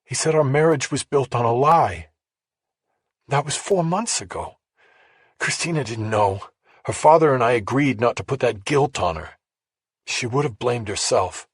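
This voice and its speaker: A man voicing a grieving, guilt-ridden middle-aged mother in an audiobook, sorrowful and halting. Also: medium pitch, lively intonation, slightly rough, no echo, clean studio sound, voice pushed up. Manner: sorrowful, halting, bitterly resigned.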